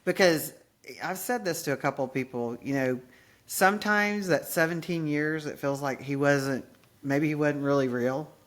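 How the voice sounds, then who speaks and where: A woman's voice: sits low, moderate pace. Chatty goofy woman in her fifties. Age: 50s. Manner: chatty and goofy, often laughing at herself. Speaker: podcast host sharing her grief. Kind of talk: casual conversation.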